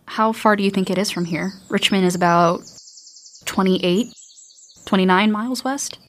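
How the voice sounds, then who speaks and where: A woman playing a gentle, hopeful teenage daughter in an audio drama, speaking softly and hesitantly. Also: mid-range voice, normal speed, slight background noise, lightly acted. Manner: soft and hesitant.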